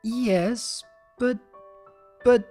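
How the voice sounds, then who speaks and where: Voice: mid-range voice, steady tone, drawn-out words, warm tone, soft background music, pitched above the performer's own voice. A storyteller voicing a small young male dragon, earnest, nervous and affectionate, with a hesitant manner. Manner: stammering, hesitant.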